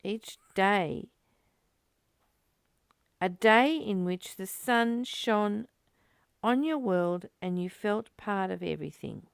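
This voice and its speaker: A woman giving a spiritual reading and reflection as a teacher, in a deliberate, measured way with emphatic pauses. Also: mid-range voice, creaky, clean tone, no room sound, clean studio sound. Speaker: study group teacher reading and commenting.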